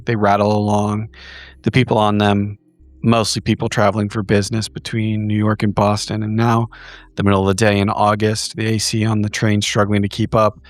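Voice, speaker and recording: A man playing a tabletop roleplaying game, describing a scene in clipped, deliberate fragments. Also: lowish voice, no room sound. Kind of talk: vivid improvised descriptive narration.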